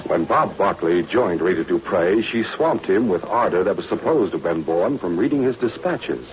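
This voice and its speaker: A man's voice: deep voice, lively intonation, moderate pace, highs cut off. An American man playing the narrator of a 1940s radio spy adventure, reading scripted narration. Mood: measured suspense. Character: brisk male narrator of a spy adventure serial. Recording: noisy, band-limited old radio recording.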